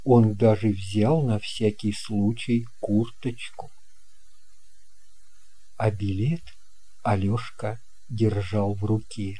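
A man narrating a children's story from a script in a measured, expressive storytelling manner. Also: lowish voice, husky, poor audio. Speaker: audiobook narrator.

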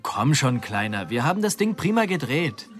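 An actor playing a cocky, smooth-talking young murderer in a radio drama, speaking in a brash, colloquial way. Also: male voice, medium pitch, sing-song, moderate pace, fairly bright tone, soft background music. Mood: cocky, reassuring.

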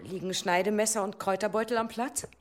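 A woman's voice: medium pitch, fairly even intonation, fast, natural voice. An actress playing a middle-aged village wife and mother, chiding and protective, in a medieval radio play. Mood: exasperated, protective. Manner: chiding, placating.

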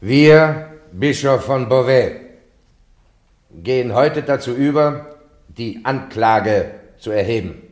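A man's voice: medium pitch, lively intonation. An actor playing a bishop who formally admonishes and charges an accused woman. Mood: solemn. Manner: solemn, formal, measured. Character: solemn bishop presiding over a trial. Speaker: bishop and judge. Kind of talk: radio play acting.

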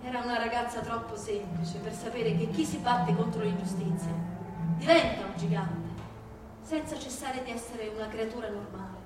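A woman narrates a tale as a stage reader, in a high voice. She sounds far from the mic in a very reverberant hall, with music clearly behind her. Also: normal speed. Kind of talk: theatrical storytelling, scripted narration.

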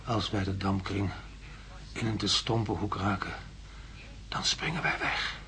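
Halting, exhausted, delirious astronaut. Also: male voice, sits low, fairly even intonation, sharp tone, slight background noise, heavily acted. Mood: dazed muttering. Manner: halting, repetitive, muttering in broken phrases. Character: exhausted delirious male astronaut reliving a perilous landing. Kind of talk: radio drama acting.

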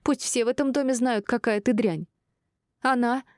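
A woman voicing a shrill, hysterical wife in her thirties who yells tearful accusations in an audiobook drama. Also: lively intonation, normal speed, dry recording, studio quality. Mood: spiteful outrage. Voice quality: shrill, squealing.

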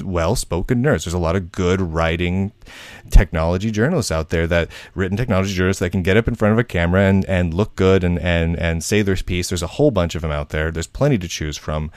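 Animated man hosting a roundtable. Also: low voice, dry recording. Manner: animated, repeats words while asking questions. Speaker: podcast host. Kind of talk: casual roundtable chat.

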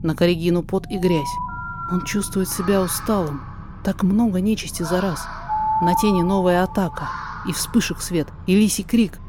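A woman reading a rhymed story aloud as theatrical, deliberate dramatic narration. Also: lowish voice, steady tone, very noisy. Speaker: podfic reader. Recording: music behind the voice.

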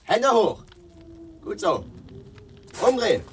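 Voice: medium pitch, very animated, slow pace, husky, heavily acted. A performer playing a commanding armed officer in a radio drama, barking curt orders. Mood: stern.

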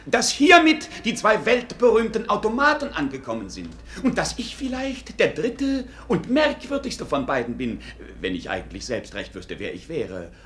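A glib court fool in a radio play, his highish voice swinging up and down dramatically. He declaims with mock ceremony, like a fairground showman mocking his noble audience. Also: sing-song, moderate pace, few pauses, fairly bright tone. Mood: mock-solemn. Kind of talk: theatrical radio play acting.

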